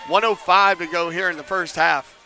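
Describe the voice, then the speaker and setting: A man calling a basketball game live from an indoor arena, chatty, making joking asides about himself and rising to near shouts on big plays. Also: high-pitched, steady tone, normal speed. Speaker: play-by-play commentator.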